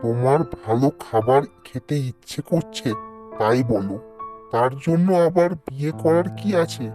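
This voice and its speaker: A man voicing a gentle, good-natured adult villager in conversational cartoon dialogue. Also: medium pitch, animated, unhurried, soft background music, lightly acted. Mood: gentle, fond.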